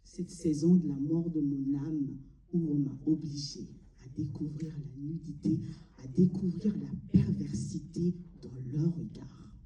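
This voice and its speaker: A woman from Mali delivering a solemn, deliberate theatrical monologue, pausing between short phrases. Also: low voice, warm tone. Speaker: amateur stage performer. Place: large cinema auditorium before an audience. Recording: echoey hall, distant mic.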